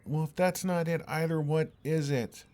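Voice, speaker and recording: A young man playing an exasperated, earnest and oblivious best friend in an intimate audio roleplay, speaking in a halting, conversational way. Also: fairly high pitch, fairly even intonation, darkish tone, lightly acted. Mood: earnest, pleading.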